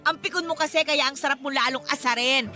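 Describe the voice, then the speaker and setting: A woman's voice: high-pitched, quick pace, bright, forceful tone. A woman acting a playful, cheeky, matchmaking workmate with giggly, sing-song teasing in a Filipino radio drama.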